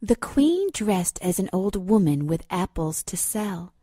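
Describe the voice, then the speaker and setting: A woman's voice: mid-range voice, very animated, measured pace, slightly rough, no echo, studio quality. A woman storyteller giving a scripted, rhyming fairy-tale narration for children. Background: loud music with chimes.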